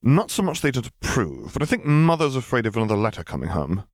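A man's voice: mid-range voice, sing-song, fast talker, dry recording, studio quality, voice pushed up. Man as chatty, sheepish young graduate student. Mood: confiding. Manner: chatty, self-deprecating, rambling.

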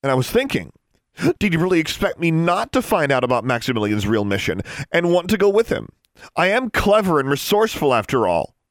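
Man voicing a high, shrill, defiant young girl. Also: very animated, a little fast, no room sound, clean studio sound. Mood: accusing. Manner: accusing, emotional, rising to shrieks. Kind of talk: dramatic audiobook character acting.

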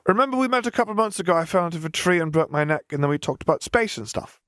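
A man's voice: sits high, animated, quick pace, fairly bright tone, dry recording, clean studio sound, voice pushed up. A man voicing a precocious, oddly cheerful five-year-old girl with an earnest, matter-of-fact manner. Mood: eager, chatty.

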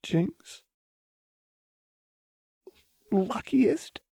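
A wounded, volatile young woman, performed by a man reading an audiobook. Her voice is ragged and hushed, strained with disbelief. The sound is husky, close and dry. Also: high-pitched, animated, extremely slow, dry recording, voice pushed up, exaggerated voice. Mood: stunned disbelief. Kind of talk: audiobook character dialogue.

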